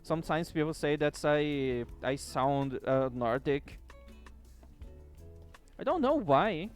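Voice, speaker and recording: A man's voice: on the high side, lively intonation, takes it slowly. A man streaming a game late at night, chatting casually with viewers, with distinctive rolled R's and casual swearing. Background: faint background music.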